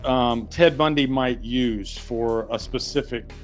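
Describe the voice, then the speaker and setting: Male podcast co-host, mid-pitched, conversational, feeling his way through explanations. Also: takes it slowly, soft background music. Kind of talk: casual film and TV discussion.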